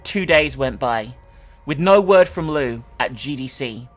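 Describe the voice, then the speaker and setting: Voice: mid-range voice, expressive, unhurried, faint music behind. A person giving a theatrical dramatic reading of an online horror story, with sweary, mocking asides. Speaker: online video host.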